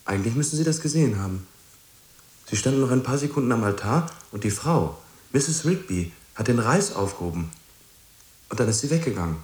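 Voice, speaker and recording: A man's voice: medium pitch, expressive, fairly quick, a little mellow, roomy sound, natural voice. An actor playing an earnest young man in a radio drama, hesitant yet persistent and pleading. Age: young adult. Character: earnest, persistent young man, shy but insistent visitor.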